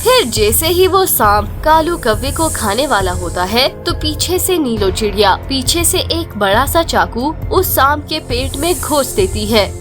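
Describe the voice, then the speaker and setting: Female narrator for kids' animated fable, high pitch, music bed. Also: unhurried, few pauses. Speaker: storyteller narrator. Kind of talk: scripted children's story narration.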